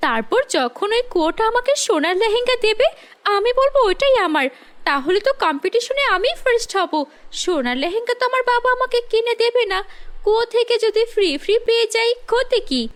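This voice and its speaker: A woman's voice: very high-pitched, expressive, a little fast, voice pushed up. A woman voicing a jealous, boastful, scheming girl with a taunting manner in a cartoon fairy tale. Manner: spiteful, taunting.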